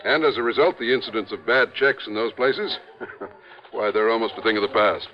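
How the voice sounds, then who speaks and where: An actor playing a proud, fussy, defensive American bank president in a 1950s radio drama, with a hesitant, proper way of talking. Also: male voice, lowish voice, moderate pace, lightly acted. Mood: proud, explanatory. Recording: dull old radio broadcast recording.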